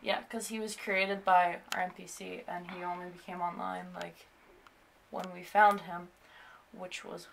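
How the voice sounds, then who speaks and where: A woman's voice: on the low side, little pitch movement, unhurried, vocal fry, slight background noise. A young woman chatting casually indoors, explaining things in an offhand way. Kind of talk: casual chat. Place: room, indoors.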